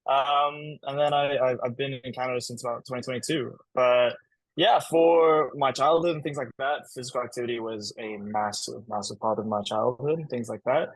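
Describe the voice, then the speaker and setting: A man's voice: mid-range voice, steady tone, normal speed, no echo, poor audio. A young man from Hong Kong, a podcast guest, answering casually with lots of fillers and restarts and frequent laughs. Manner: chatty, many fillers and restarts, laughs often. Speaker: guest, camp business manager.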